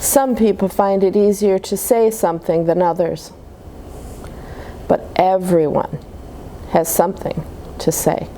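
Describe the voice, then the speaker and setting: A woman reading picture books aloud to young children in an expressive, explaining manner. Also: medium pitch, unhurried, pauses often, a little hiss or hum, poor audio. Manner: animated, simple explanations to children. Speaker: children's storybook reader at a church.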